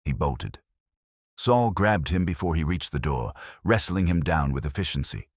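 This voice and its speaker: Very deep-voiced man narrating detective fiction. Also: lively intonation, moderate pace, husky, no echo, clean studio sound. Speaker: audiobook narrator. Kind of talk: scripted first-person detective story narration.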